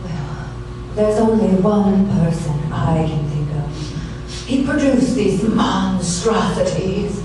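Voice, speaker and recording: A woman's voice: mid-range voice, expressive, takes it slowly. A woman playing an anxious, genteel, wealthy client in a noir radio play, nervous, hesitant and fussily polite. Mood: uneasy, resentful. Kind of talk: noir radio theater acting. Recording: echoey, distant, noisy stage recording.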